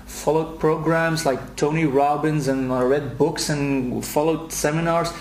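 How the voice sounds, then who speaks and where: A man's voice: a little high, fairly even intonation, measured pace, darkish tone, roomy sound, a little hiss or hum, poor audio. A man in his late twenties from Belgium with a Belgian accent, earnestly recording a video message for his website and often repeating words as he starts a sentence. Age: late 20s. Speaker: website host.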